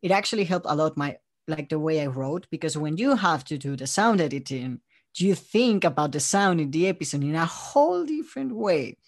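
A man from Spain, chatting casually as an interview guest and telling stories in an animated way. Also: on the high side, brisk. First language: Spanish. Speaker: interview guest, audio drama creator and voice actor.

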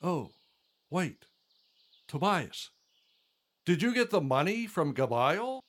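A man's voice: fairly high pitch, sing-song, slow pace, full character voice. An older man playing a devout, grateful elderly father in a religious audio drama, with fervent, reverent delivery. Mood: concerned, earnest.

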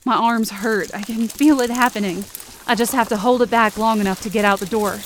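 A woman's voice: fairly high pitch, fairly even intonation, fairly quick, slight background noise, natural voice. A woman playing a wounded, angry, defiant young woman, narrating in the first person with intense feeling in an audio drama. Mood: hurt, resolute. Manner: intense, emotive.

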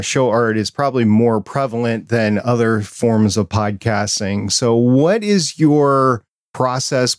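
A man's voice: medium pitch, no room sound, clean studio sound. A man hosting a podcast, speaking conversationally as he interviews a guest.

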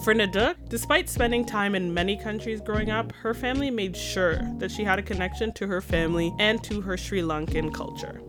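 A woman host narrating her show in a reflective, measured way. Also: mid-range voice. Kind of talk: scripted narration. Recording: music bed behind voice.

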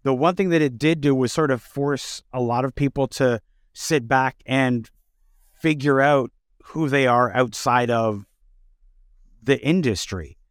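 A man hosting a casual interview, talking in halting, measured phrases. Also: mid-range voice, smooth, no echo, studio quality. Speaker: interview host.

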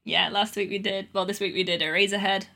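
Female podcast host, bright and forceful tone, medium pitch, casual and self-mocking. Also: fairly even intonation, fast, creaky.

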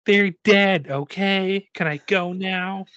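A man's voice: high-pitched, takes it slowly, pushed and bright, dry recording, dull audio, voice pushed up, full character voice. A man voicing a sulky, secretive nine-year-old girl in a tabletop game, sassy and pleading. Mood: defiant, warning.

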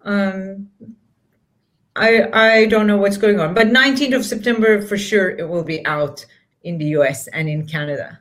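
A woman talks about her book as an interview guest. She speaks thoughtfully, pausing often, at a middling pitch. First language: Turkish. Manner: thoughtful, with frequent pauses and fillers. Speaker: author, guest. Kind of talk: casual interview talk.

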